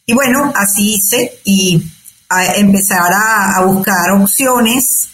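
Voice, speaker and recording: A woman's voice: mid-range voice, takes it slowly, fairly bright tone, slight background noise. Older Venezuelan woman in an echoey room. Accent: Venezuelan Spanish. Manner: thoughtful, hesitant, many fillers and restarts. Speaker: guest, astrologer. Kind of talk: conversational interview.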